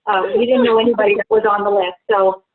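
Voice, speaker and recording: A woman's voice: mid-range voice, fairly even intonation, fast. A woman from Ontario hosting a travel webinar, chatty and reassuring on a video call. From Ontario, Canada. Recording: tinny phone-like call audio.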